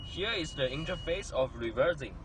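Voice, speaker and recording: A man's voice: a little high, lively intonation, moderate pace. Man narrating a product demo, faint noise. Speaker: product demonstrator. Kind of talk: scripted product demonstration narration.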